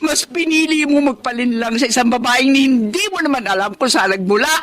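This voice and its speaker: An actress playing a wounded, long-suffering wife in a Filipino radio drama, proud, emotional and accusing. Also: female voice, sits high, brisk, faint music behind, natural voice. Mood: anguished.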